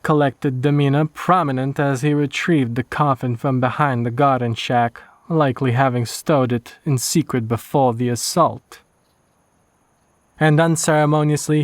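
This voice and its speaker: A man's voice: mid-range voice, no room sound, lightly acted. A man voicing a haunted Old West townsman with a Louisiana accent, narrating a horror story in a solemn, old-fashioned way. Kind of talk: scripted first-person horror narration.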